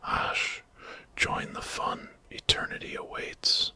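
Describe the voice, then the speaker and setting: Male narrator as luring demon-possessed woman in a horror tale, sinister, bright airy whisper. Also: slow pace. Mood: eerie, luring. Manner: sinister, beckoning. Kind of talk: whispered ASMR horror story.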